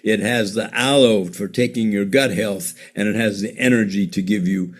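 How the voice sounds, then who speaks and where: A man presenting nutrition packages in a sales talk, pausing often with fillers and restarts. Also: lowish voice. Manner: hesitant, many fillers and restarts.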